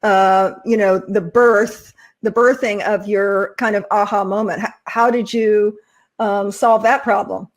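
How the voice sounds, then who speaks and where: A woman's voice: mid-range voice, steady tone, measured pace. A middle-aged woman from Southern California, hosting an interview show, encouraging her guest and laughing often. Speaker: host. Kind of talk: casual interview chat.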